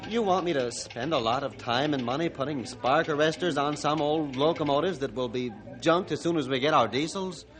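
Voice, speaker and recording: An actor playing a stubborn, evasive railroad owner, indignant and defensive, in a 1950s radio drama. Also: male voice, fairly high pitch, a little fast, hardly any pauses, lightly acted. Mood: grudging, evasive.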